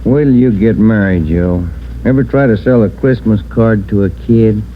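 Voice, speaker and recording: An actor playing an easygoing police detective partner in an old radio drama, chatting casually. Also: male voice, on the low side, moderate pace, close to an everyday voice. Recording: dull old radio, faint noise.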